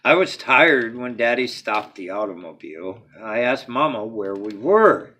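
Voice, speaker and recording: Man, bedtime story reader, slightly raspy voice, animated pitch, expressive read-aloud, dramatic phrasing, hushed endings.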